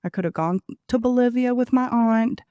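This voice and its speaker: A woman in her forties from Venezuela, a Spanish speaker with a Venezuelan accent, telling her life story as a podcast guest in an animated way. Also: on the high side, normal speed, dry recording. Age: 40s. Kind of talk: conversational interview.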